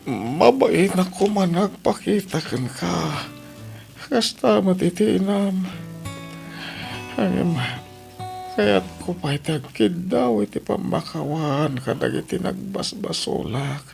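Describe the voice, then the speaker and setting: A man playing an ailing elderly father in an Ilocano radio drama, halting and pleading as he begs forgiveness. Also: high voice, lively intonation, gravelly, a little mellow, soft background music, exaggerated voice. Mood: remorseful, pleading.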